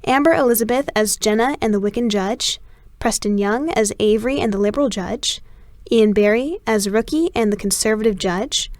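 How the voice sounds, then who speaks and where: A woman's voice: on the high side, normal speed, darkish tone, dry recording, clean studio sound. A woman reading scripted production credits as an announcer.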